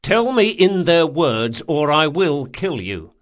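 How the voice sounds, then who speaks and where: A man narrating an audiobook, voicing a brash, sardonic thief who speaks forcefully, with menace and exasperation. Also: a little high, sing-song, slow pace, voice pushed up. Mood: angry, threatening. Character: brash, sardonic male thief, threatening, foul-mouthed.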